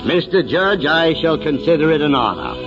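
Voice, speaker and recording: An actor playing a kindly, thoughtful older small-town mayor in 1940s radio drama style. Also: male voice, on the high side, big pitch swings, normal speed. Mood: gentle, thoughtful. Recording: muffled old radio recording with music.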